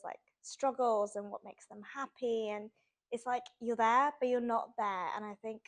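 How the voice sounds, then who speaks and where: Young woman, bit high-pitched, talks about her book, lots of "like", animated and chatty. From Sweden. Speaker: author guest. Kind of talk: casual interview chat.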